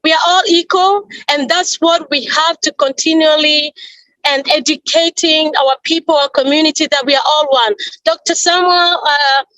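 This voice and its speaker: Woman, high voice, halting earnest panel talk, dry close sound. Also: fairly even intonation, fairly bright tone, dry recording, low-quality recording. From Congo. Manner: earnest, halting, repeats and rephrases as she goes. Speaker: panel speaker at a peace day event. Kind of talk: earnest speech at an online panel.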